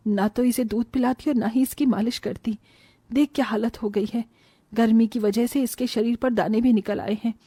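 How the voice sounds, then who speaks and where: A woman's voice: on the high side, steady tone, normal speed, warm tone, slightly rough, dry recording. A stern mother-in-law from a cartoon moral tale, acted by a woman. She sounds emphatic and scolding, full of worry, in a very dry recording. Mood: worried, complaining. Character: stern, worried mother-in-law and grandmother of the family. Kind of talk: animated Hindi moral story dialogue.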